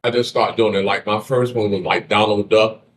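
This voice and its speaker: Deep-voiced joking male comedian. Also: flat delivery, moderate pace, slight background noise. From eastern shore of Maryland, US. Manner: joking, laid-back storytelling. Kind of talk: casual interview.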